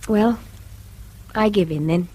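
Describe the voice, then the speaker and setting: An actress playing a tired, strained but fond wife in a radio play, earnest, with a husky voice. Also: female voice, medium pitch, takes it slowly, warm tone. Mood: fond, yielding. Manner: weary, earnest.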